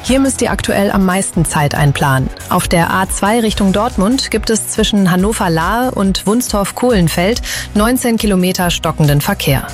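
Female traffic and weather presenter, clear, friendly, with faint music underneath. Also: medium pitch, lively intonation, normal speed. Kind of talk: scripted traffic and weather report.

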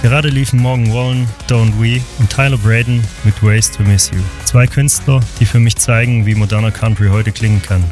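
A man's voice: medium pitch, fairly even intonation, moderate pace, few pauses. A man from southern Germany hosting a country music radio show, speaking in an earnest, personal way between songs. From Hohenlohe, Baden-Württemberg, Germany. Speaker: radio host. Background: music bed.

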